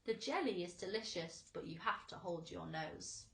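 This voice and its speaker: A woman's voice: fairly low pitch, lively intonation, moderate pace, vocal fry, fairly bright tone, echoey room, pitched below the performer's own voice. A woman voicing a boastful, exuberant storybook centipede who declaims in rhythm. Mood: boastful, relishing. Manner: declamatory, rhythmic verse delivery. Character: boastful, exuberant, greedy centipede reciting a food song.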